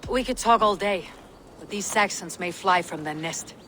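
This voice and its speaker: A woman's voice: medium pitch, normal speed, fairly bright tone, a little hiss or hum, natural voice. An actress playing a tough, stoic young Viking woman who leads raiders in a video game, speaking in a terse, dry, guarded way. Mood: dry, challenging.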